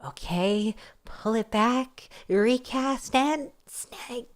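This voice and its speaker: A woman's voice: on the high side, drawn-out words, pitched above the performer's own voice. A sassy young sorceress from a fantasy storybook, acted out by a woman reader. She is playful and boastful, exclaiming and lilting her words as if very pleased with herself. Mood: eager.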